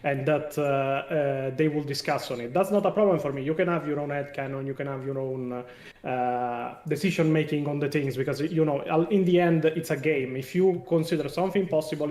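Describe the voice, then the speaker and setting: A man with an Italian accent, a non-native English speaker, talking as a guest on a live stream in an animated way with many fillers. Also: a little high, reverberant, far from the mic. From Italy. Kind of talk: casual live stream discussion.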